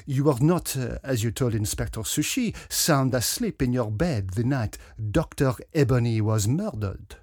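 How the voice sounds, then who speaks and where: A man voicing a pompous, dapper little detective with a French accent, theatrical and deliberate, in a mystery story. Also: mid-range voice, expressive, a little mellow, no room sound, clean studio sound, close to an everyday voice. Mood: smug, probing. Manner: pompous, self-regarding, deliberate.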